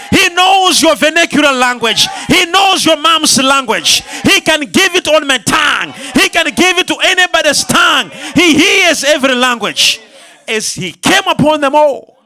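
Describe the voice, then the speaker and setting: Male preacher, very high and bright voice, calls out to the congregation and builds to shouts. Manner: call-and-response, rising to shouts. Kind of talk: sermon.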